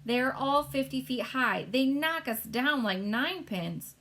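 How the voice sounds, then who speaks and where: A woman playing a gruff, impatient army general in a storybook read-aloud. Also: sits high, lively intonation, a little slow, a bit bright, voice pushed up, heavily acted. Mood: blustering. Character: blustering male army general.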